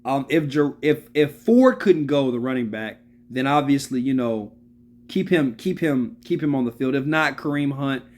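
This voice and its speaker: A man's voice: medium pitch, moderate pace. A man in his early thirties giving casual, slangy football analysis, repeating his points for emphasis and calling out to viewers. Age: 30s. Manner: animated, repeats phrases for emphasis. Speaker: sports video host.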